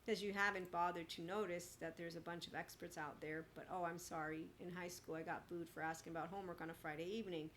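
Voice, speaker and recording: A woman in her forties speaks in a slightly low voice, hosting a solo podcast. Her delivery is blunt and emphatic, edged with sarcasm as she airs opinions and personal stories. There is some noise in the background of the recording. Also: steady tone, fast talker, hardly any pauses, a bit bright. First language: bilingual with Italian. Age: 40s. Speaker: podcast host.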